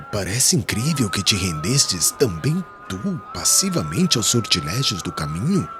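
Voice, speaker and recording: A man acts out a proud Pharisee with a very low voice and lively, swooping intonation. He is haughty and offended, questioning with scornful disbelief in an audiobook drama, over music. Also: heavily acted. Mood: offended, incredulous. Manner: haughty, incredulous, rhetorical questioning.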